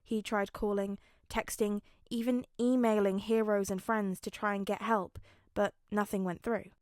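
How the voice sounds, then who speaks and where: A woman's voice: medium pitch, level tone, a little slow, a little mellow, no room sound, studio quality. A woman narrating a scripted story as an audio fiction reader.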